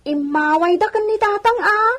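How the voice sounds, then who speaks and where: A woman's voice: very high-pitched, moderate pace, a bit bright. A woman playing a sweet, coy young village maiden in a radio drama, with bashful, questioning delivery.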